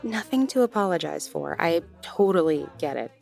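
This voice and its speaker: A woman's voice: on the low side, lively intonation, normal speed, gravelly, darkish tone, soft background music. A woman playing a friendly, flirtatious gallery worker in an intimate audio roleplay, soothing and teasing as she talks straight to the listener. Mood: gentle concern.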